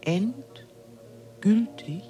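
An actor playing a grave, aloof alien philosopher in a German radio drama, making solemn pronouncements. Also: male voice, high-pitched, expressive, drawn-out words, mellow, faint music behind, exaggerated voice. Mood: scornful.

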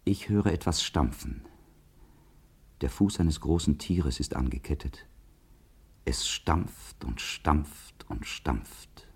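Radio drama part: haunted dreamer, gravelly, husky, slow. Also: male voice, rumbling low, animated, full character voice. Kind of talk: poetic radio play.

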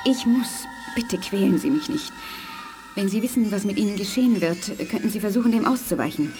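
A woman's voice: medium pitch, lively intonation, natural voice. A woman as a grave young girl telepath over music. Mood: pained, secretive. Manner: formal, measured, earnest phrasing. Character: grave eleven-year-old girl telepath, gentle and secretive. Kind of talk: 1980s German radio play acting. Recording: studio radio play with prominent music.

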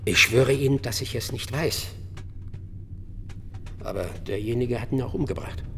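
A man's voice: mid-range voice, moderate pace, a bit bright, long reverb tail, slight background noise, lightly acted. An actor playing a defensive, bitter middle-aged bar owner in a German crime radio play, evasive and coarse as he justifies himself under questioning. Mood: guarded. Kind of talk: German radio drama acting.

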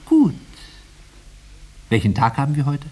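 An actor playing a condescending, clinical psychiatrist in a 1950s radio drama, dropping into drawn-out murmurs. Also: male voice, mid-range voice, wildly swooping pitch, mellow. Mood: probing. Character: condescending male psychiatrist questioning his patient. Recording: noisy 1950s radio recording with clicks.

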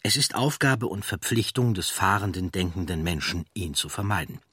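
A man reading scripted documentary narration in a measured, serious and factual manner. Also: low voice, expressive, pushed and bright, husky, no room sound, clean studio sound.

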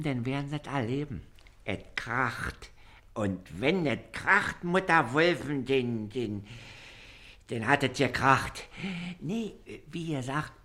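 An actor playing a simple, self-important constable in a radio play, with a Berlin accent and a ponderous, repetitive way of warning darkly. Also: male voice, medium pitch, pushed and bright, full character voice. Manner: ponderous, repeats himself. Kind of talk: radio play dialect comedy acting.

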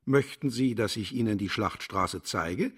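An actor playing a cynical, condescending older mentor in a radio play, with a husky voice and a mocking, patronizing manner. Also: male voice, lowish voice, a little slow, a bit bright. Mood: cutting, sardonic.

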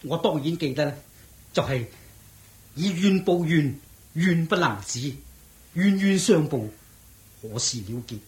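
A high-voiced, hot-headed servant. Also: male voice, unhurried, pauses often. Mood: obedient. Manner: excitable, urging, colloquial. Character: loyal, hot-headed manservant of a prince, impatient, scornful. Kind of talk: Cantonese radio drama acting. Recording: echoey radio drama recording.

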